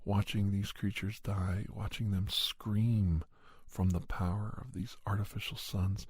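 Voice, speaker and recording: A man narrating a scripted horror story in a soft, gravelly ASMR style, deliberately and dramatically, in short phrases broken by pauses. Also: on the low side, flat delivery, no room sound. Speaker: storyteller and podcast host.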